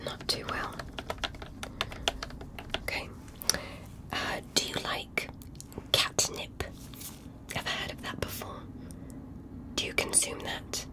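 A woman playing a curious, polite alien doctor in a gentle, methodical ASMR medical roleplay, whispering her questions. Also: takes it slowly, pauses often, lightly acted, rough recording.

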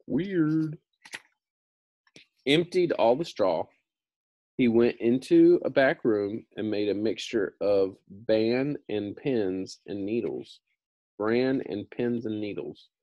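Low-voiced man reading a storybook aloud. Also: warm tone, no echo. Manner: casual, explanatory, repeats himself. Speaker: reader of a children's book. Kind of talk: read-aloud storytelling with informal explanatory asides.

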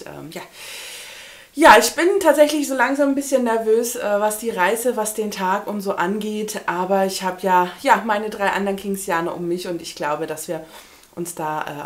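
Chatty woman in her thirties, book vlogger. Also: fairly low pitch. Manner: chatty, informal. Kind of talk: casual chat to camera about books. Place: small indoor room with bookshelves.

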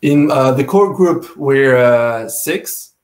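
Young male entrant, halting delivery, echoey room. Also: medium pitch, a little mellow. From Quebec, Canada. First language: French and Spanish. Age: young adult. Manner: hesitant, many fillers and restarts, eager. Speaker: sailing race entrant. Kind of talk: casual video call interview. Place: home room indoors. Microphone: video call. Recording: roomy video call audio.

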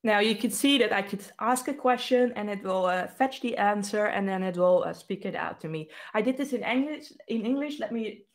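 A woman's voice: medium pitch, normal speed, few pauses. A woman in her thirties with a Dutch accent, presenting a technical talk with a live demo in a casual way, with many fillers and restarts. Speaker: developer advocate presenter. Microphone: webcam video call.

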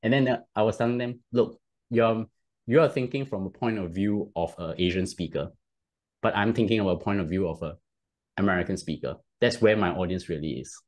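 Deep-voiced Singaporean man explaining. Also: brisk, darkish tone. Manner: explains at length with many restarts and rhetorical "right?". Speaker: podcast guest. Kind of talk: casual interview talk. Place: home room, video call. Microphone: headset.

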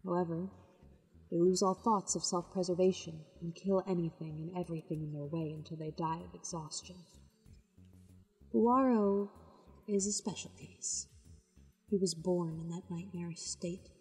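Low, solemn demon warrior woman, acted out, reverberant. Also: steady tone, normal speed, a little mellow, lightly acted. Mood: grave. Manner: solemn, explanatory. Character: proud, grave female demon wind warrior. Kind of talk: dramatized webcomic audio play. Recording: long reverb with music behind.

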